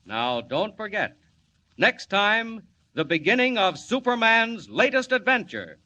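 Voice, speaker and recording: A man's voice: high-pitched, sing-song, unhurried, pushed and bright. A man announcing a vintage radio serial in an urgent, theatrical 1940s style. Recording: dull old radio recording.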